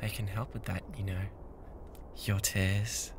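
An obsessive young British yandere classmate, played by a man in an ASMR roleplay. He speaks slowly and intimately, with theatrical menace and a bratty, unhinged streak. There is clear background noise in the recording. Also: fairly low pitch, level tone, lightly acted. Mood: creepy, intimate.

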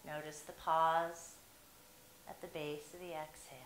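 A woman leads a guided meditation in a low, unhurried voice. She stretches her phrases out and leaves long pauses between them. The recording has a roomy echo and a faint hiss. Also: little pitch movement, fairly bright tone. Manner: slow, soothing, drawn-out phrasing with long pauses. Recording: echoey room with faint hiss.

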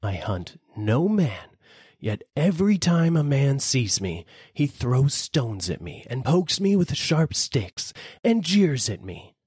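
Guy as grumpy bitter animal in a storybook, grumbly n drawn out, husky, pitch all over. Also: sing-song, slow, darkish tone, dry recording, studio quality, full character voice. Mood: bitter, scornful.

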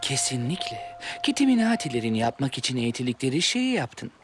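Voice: medium pitch, big pitch swings, brisk, lightly acted. An actor voicing a proud, approving cat martial-arts master in a children's cartoon dub, emphatic and formal. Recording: music behind the voice.

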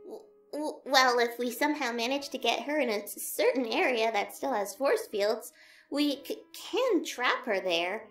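A woman's voice: a little high, animated, measured pace, soft background music. A woman playing a timid, nervous lizard scientist in a fan audio drama, stammering and hesitant, in a roomy echo. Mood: anxious.

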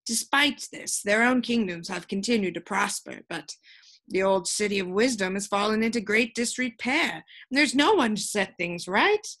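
A woman voicing a kindly, resigned old witch from a storybook, speaking in a halting, motherly, reassuring way. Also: medium pitch, animated, fairly bright tone. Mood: sad, resigned.

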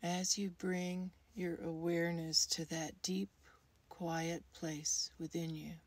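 Female meditation guide: lowish pitch, soft husky breath, soothing delivery that leaves space between phrases. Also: little pitch movement. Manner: soothing, unhurried, with long pauses between short phrases. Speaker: guided meditation leader, creativity coach. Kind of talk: guided meditation, gentle scripted narration.

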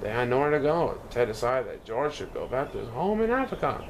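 A man reads a children's storybook aloud in an animated storytelling manner, now and then stumbling over a word. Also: medium pitch, fairly quick, background noise. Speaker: storybook reader.

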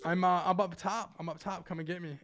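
A man's voice: high-pitched, steady tone, brisk, crowd noise. A man playing an online game with friends, teasing and bluffing with lots of laughter. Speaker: game player. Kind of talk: casual gaming chat.

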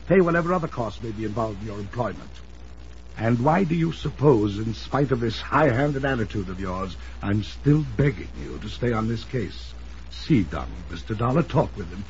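An actor playing a proud, imperious older wealthy uncle, indignant and blustering, in an old-time radio drama. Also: male voice, fairly high pitch, darkish tone. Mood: urgent pleading. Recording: muffled, noisy old radio recording.

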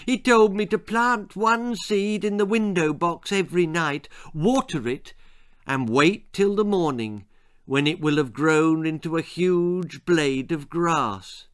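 A man voicing a boy's giggly, bubbling, excited mother in a children's storybook reading. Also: on the high side, slow, clear, resonant tone, pitched above the performer's own voice. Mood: giggly, thrilled. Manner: bubbly, breathless with delight.

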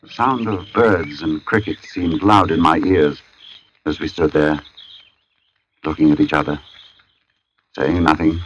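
An actor playing an uneasy artist who narrates an eerie tale in a brooding, deliberate 1940s radio suspense style. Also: male voice, extremely low pitch, fairly even intonation, breathy edge, muffled recording, heavily acted. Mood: shaken. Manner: brooding, deliberate, halting when shaken. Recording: old radio recording with music behind.

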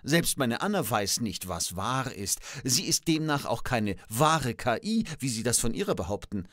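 A man's voice: mid-range voice, very animated, normal speed, fairly bright tone, dry recording, clean studio sound. A man playing a pedantic, old-fashioned, skeptical professor in a scripted comic dialogue, speaking precisely and declaiming his points. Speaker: podcast co-host in a comic professor role. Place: radio studio. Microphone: studio microphone.

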